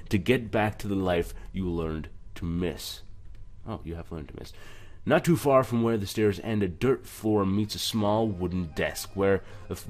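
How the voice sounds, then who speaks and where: A man's voice: lowish voice, a little mellow. A man hosting a let's play, reading game narration aloud and adding casual, joking commentary. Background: music.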